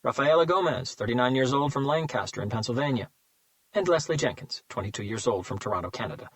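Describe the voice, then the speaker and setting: A man's voice: mid-range voice, expressive, no room sound, lightly acted. A man voicing a smug male serial killer who mocks and savors his words, in an audiobook reading. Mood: smug, taunting. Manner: mocking, slow and savoring.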